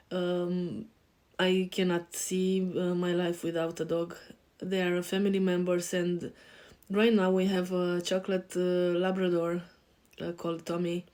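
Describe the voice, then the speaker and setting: A woman in her forties with a Romanian accent, a life coach introducing herself on a podcast and pausing often with fillers. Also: fairly low pitch, little pitch movement, a little mellow. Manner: hesitant, many filler pauses.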